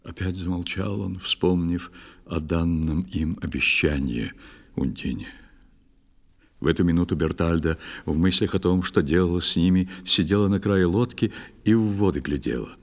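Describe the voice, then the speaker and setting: A man narrating a fairy tale in expressive, theatrical verse for an audio play for children. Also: low voice, normal speed, vocal fry. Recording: studio narration over soft music.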